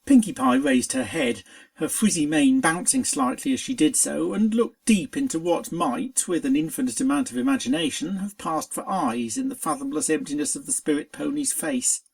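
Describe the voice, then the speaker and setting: A narrator reading a scripted story aloud in an expressive, dramatic storytelling manner. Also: medium pitch, moderate pace, creaky. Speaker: fan fiction reader.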